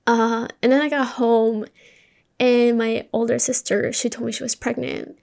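A woman's voice: on the high side, level tone, a little slow, creaky, a little mellow. A woman from Colombia, a native Spanish speaker, hosting a podcast and telling a personal story in a candid, informal, conversational way. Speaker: podcast host.

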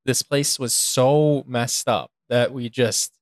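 A man with an American accent chatting casually as a co-host, halting and emphatic, with a jokingly dramatic streak. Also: mid-range voice, steady tone, no room sound, studio quality. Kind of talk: casual chat.

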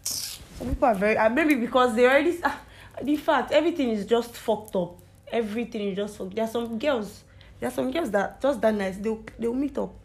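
A young woman, chatting as a podcast guest. She talks casually in a slightly high voice and often repeats the host's words back to her. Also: brisk. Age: young adult. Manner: chatty, echoes the host, laughs along. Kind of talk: casual chat.

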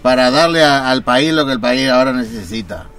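A man's voice: medium pitch, fairly quick, a bit bright, natural voice. Pompous argentine estate owner played by a man, rambling and condescending, light music under. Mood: smug. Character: smug wealthy male landowner, condescending. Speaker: guest. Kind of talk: satirical radio sketch.

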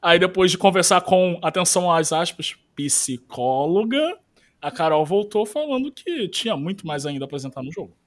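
A man hosting a casual chat podcast, talking in an animated, joking, gossipy way. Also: high-pitched, normal speed. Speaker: podcast host.

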